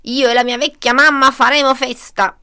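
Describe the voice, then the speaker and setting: Woman as a wicked, gloating, high-voiced fox. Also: fairly even intonation, moderate pace, sharp tone, clean tone.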